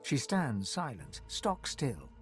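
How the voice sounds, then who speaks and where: A man's voice: mid-range voice, huge pitch swings, slow, over music. A man playing a solemn storyteller, narrating a fantasy video game in a dramatic, literary way.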